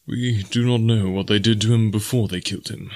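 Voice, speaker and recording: A male reader plays a young English gentleman in an adventure tale, worn out and quick to feel guilt. He speaks rather low, with formal courtesy and earnestness. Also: fairly even intonation, dry recording, clean studio sound, natural voice. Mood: weary, sorrowful.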